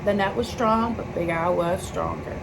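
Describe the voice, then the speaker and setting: Gravelly-edged woman reading a children's storybook. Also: fairly low pitch, normal speed. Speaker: children's picture book reader. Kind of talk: read-aloud storybook narration. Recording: clear steady background noise.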